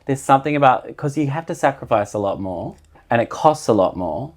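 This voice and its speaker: A young man from New Zealand with a faint accent, a director and choreographer chatting casually as a guest in a podcast studio, relaxed and storytelling. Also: mid-range voice, fairly quick. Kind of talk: casual interview chat.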